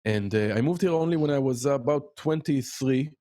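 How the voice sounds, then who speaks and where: A middle-aged man from Israel with an Israeli accent, talking as a podcast guest in a halting way, with many fillers and restarts. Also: medium pitch, warm tone, no room sound. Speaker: podcast guest, music educator. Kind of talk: casual interview chat.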